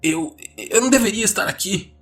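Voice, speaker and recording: A man's voice: sits high, expressive, brisk, fairly bright tone, pitched above the performer's own voice. A man voicing a terrified, weeping male captive who stammers and pleads in confusion. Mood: terrified pleading.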